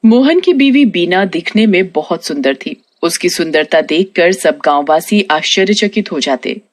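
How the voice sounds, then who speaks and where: A woman's voice: lowish voice, animated, moderate pace, slight background noise. A woman reading a scripted horror story narration for a cartoon tale.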